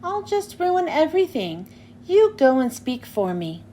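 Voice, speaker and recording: A woman's voice: high voice, very animated, unhurried, warm tone, a little hiss or hum. A woman voicing a brash, blunt young girl in a children's storybook read-aloud. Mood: sheepish. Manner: blunt, scolding. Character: brash, indignant young girl demanding a proper dinner.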